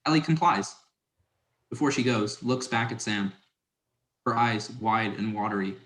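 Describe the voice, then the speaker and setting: Low-voiced man narrating in an echoey room. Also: unhurried, fairly bright tone. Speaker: narrator reading stage directions. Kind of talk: scripted dramatic narration. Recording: roomy, echoing sound.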